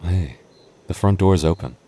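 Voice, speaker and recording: A young goth boyfriend, played by a man, speaks in an extremely deep voice. He is protective and tender, with a halting, playful way of talking straight to his partner, as in a boyfriend roleplay audio. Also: dark tone, slightly rough. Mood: hesitant, hopeful. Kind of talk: boyfriend ASMR roleplay, acted conversationally to the listener.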